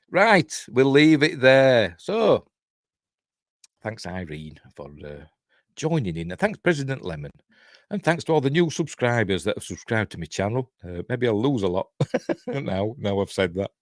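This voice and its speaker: A middle-aged Yorkshire man chats on a livestream in a low voice that swoops up and down. He rambles through asides and fillers, poking fun at himself. The sound is close and dry. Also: dry recording. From Yorkshire, England. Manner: rambling and chatty, with frequent fillers and self-deprecating asides. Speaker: channel host reviewing TV. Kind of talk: casual livestream chat.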